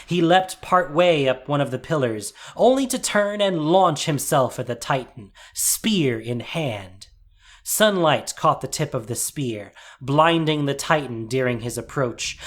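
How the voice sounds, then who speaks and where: A man giving a scripted, dramatic fantasy narration as storyteller, measured and suspenseful. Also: a little high, a bit bright. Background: music.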